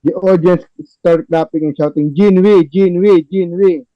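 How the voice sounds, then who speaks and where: A man's voice: high-pitched, steady tone, normal speed, dry recording. A man narrating stage directions in an amateur table read, recorded dry and a little dull. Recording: dull lo-fi, dry close sound.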